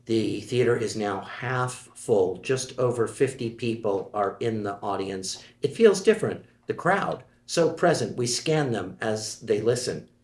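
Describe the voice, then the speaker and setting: A man reading stage directions aloud in a measured, steady way at a screenplay table read. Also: fairly low pitch. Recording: echoey room.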